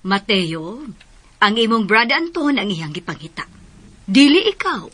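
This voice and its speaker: A woman's voice: medium pitch, very animated, measured pace, fairly bright tone, husky, exaggerated voice. A woman playing a stern, protective mother in a radio drama. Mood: disapproving.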